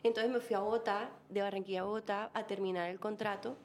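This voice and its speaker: A woman's voice: medium pitch, steady tone, fast talker, darkish tone, a little hiss or hum. A woman in her forties from Colombia with a Caribbean coast accent, telling her life story as a guest in a casual interview, laughing often.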